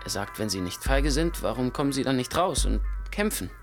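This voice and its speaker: An actor playing a deferential, nervous gangland underling in a German radio play, hesitant and placating. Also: male voice, mid-range voice, big pitch swings, fairly quick. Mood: wary, deferential. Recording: studio radio play under loud music.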